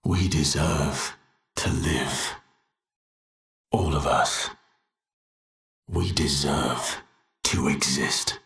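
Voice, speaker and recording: Low, slow, anguished guilt-ridden man. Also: fairly bright tone, echoey room, full character voice. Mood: desperate, solemn. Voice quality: breathy, often sinking to a whisper. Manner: halting, intense, confessional. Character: anguished, guilt-ridden man desperate to save his family. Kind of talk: dramatic video game voice acting.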